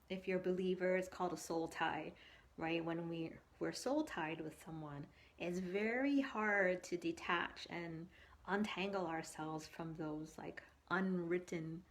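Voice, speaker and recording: A woman speaking as a coach on a casual livestream, conversational and animated, telling personal anecdotes and giving advice while often checking for agreement. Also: fairly low pitch. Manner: animated, conversational, acts out quoted thoughts and asks for agreement. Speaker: coach hosting a live stream.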